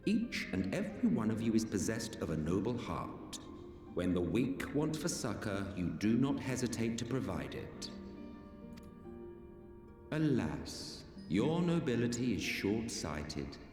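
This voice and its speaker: An ancient, condescending immortal villain from a fantasy game. His low voice swings dramatically through archaic, formal lines. It rings from a distance in an echoing hall over loud music. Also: big pitch swings, unhurried. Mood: disdainful lecturing. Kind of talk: dramatic video game acting.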